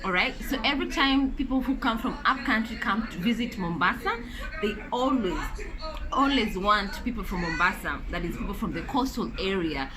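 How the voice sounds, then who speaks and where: A woman's voice: mid-range voice, animated, moderate pace, a crowd in the background, rough recording. A woman from Kenya, chatting and explaining at length in a casual vlog, with lots of fillers and restarts. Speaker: vlogger. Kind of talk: casual story time chat while eating. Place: home, noise behind.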